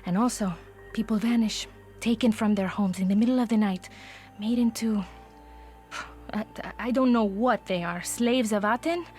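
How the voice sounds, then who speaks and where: A woman plays a weary, guarded official in a troubled village from a video game. She speaks with a Spanish accent and a grave, exasperated manner, at a middling pitch. Light music runs underneath. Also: normal speed, a little mellow, close to an everyday voice. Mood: grave, wary.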